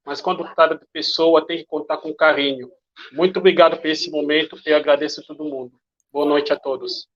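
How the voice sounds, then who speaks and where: Higher-pitched man, dull lo-fi sound. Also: a bit bright. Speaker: organization president. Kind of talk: closing speech of thanks. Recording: dull lo-fi online audio.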